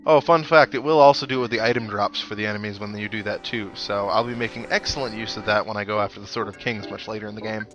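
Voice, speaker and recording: A man's voice: on the low side, fairly even intonation, quick pace, hardly any pauses. A man giving casual let's play commentary, musing aloud and reading out on-screen text as he goes. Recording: game music behind the voice. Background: video game music.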